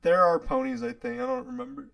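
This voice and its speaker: Young guy w a high gravelly voice, rambly storytelling, laughs a lot. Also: fast talker, over a noisy crowd. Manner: rambling, laughs often, lots of fillers. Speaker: podcast host. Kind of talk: casual chat among friends.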